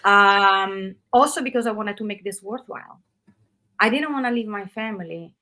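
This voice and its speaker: A middle-aged woman from Italy with an Italian accent, speaking in a relaxed, animated way with many fillers as a guest in an interview. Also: medium pitch, normal speed. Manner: animated, loose run-on phrasing with frequent fillers. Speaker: guest, actress. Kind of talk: casual interview conversation.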